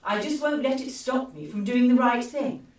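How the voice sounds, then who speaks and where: A woman's voice: fairly high pitch, moderate pace, darkish tone, far from the mic, natural voice. A woman playing a brave, determined young lady adventurer in a steampunk radio play, firm and proper. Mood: steady resolve. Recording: very echoey room, off mic.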